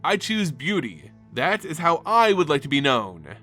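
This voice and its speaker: A man voicing a serene, idealistic woman artificer who gives counsel in a story reading, measured and gently philosophical. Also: on the high side, big pitch swings, faint music behind, heavily acted. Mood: serene, resolute.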